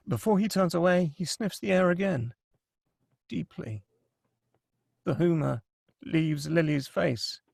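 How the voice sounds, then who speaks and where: Male narrator for a script read: slightly high, creaky, husky voice, dry close sound, dramatic stage directions. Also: no room sound.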